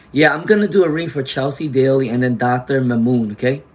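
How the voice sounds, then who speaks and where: A man doing a live tarot reading, chatty and reassuring, clearing his throat often as he loses his voice to laryngitis. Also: mid-range voice. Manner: chatty, reassuring, frequent "okay?" and "all right?" tags. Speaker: tarot reader; teacher.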